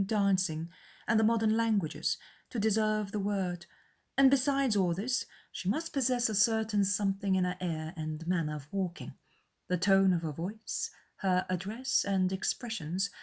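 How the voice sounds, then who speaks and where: A woman's voice: medium pitch, a little mellow, voice pushed up. A woman voicing a haughty, fawning young society lady in a dramatized period novel.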